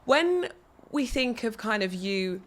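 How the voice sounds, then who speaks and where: A woman's voice: on the high side, big pitch swings, slow pace. A woman hosting an interview podcast, chatty and encouraging, who reacts with enthusiastic praise. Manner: warm, effusive, encouraging. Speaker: podcast host. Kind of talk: casual interview chat.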